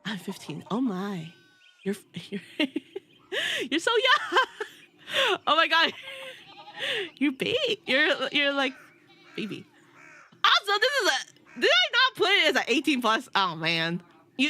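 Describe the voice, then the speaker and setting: A woman's voice: high-pitched, wildly swooping pitch, a little slow, long pauses, pushed and bright. Giggly, nasal-voiced woman streamer. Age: about 30. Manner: giggly, rambling, self-teasing. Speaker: VTuber streamer. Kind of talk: casual live-stream chat while gaming.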